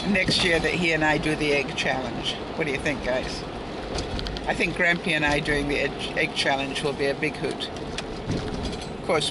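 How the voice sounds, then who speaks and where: A woman, older, talking to viewers in a casual vlog while outdoors by a street in light rain, rambling along with asides and little chuckles. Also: sits low. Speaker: daily vlogger. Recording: noisy outdoor street with passing cars. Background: traffic and street ambience.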